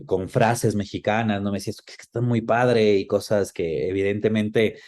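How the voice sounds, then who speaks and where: Low, mellow-voiced Ecuadorian man. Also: steady tone, moderate pace. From Quito, Ecuador. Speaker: interview guest. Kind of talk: reflective conversational reminiscence.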